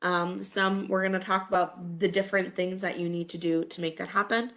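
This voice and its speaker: A woman's voice: on the low side, steady tone, brisk, fairly bright tone. A woman in her thirties giving a recorded college English lecture over webcam, stuffy from a cold, friendly and full of "um" fillers. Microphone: webcam over slides.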